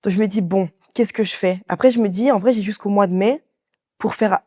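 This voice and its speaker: Woman, 20s. Talkative interview guest, rapid casual delivery, relaxed warm timbre. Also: medium pitch. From Belgium. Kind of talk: casual chat, anecdotal storytelling.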